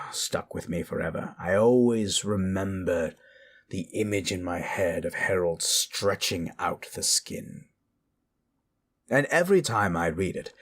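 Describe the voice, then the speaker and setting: Man playing a low, hushed horror storyteller. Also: breathy edge, full character voice. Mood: reverent. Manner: slow, hushed, dramatic pauses. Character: gentle, brooding male storyteller of spooky tales.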